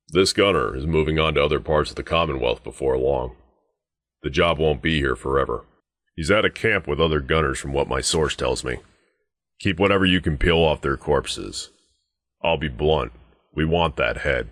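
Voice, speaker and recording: A man voicing a gruff, menacing and suspicious guard sergeant in a video game, curt, commanding and dryly sardonic. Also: rumbling low, fairly bright tone, no room sound, studio quality. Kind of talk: scripted game dialogue.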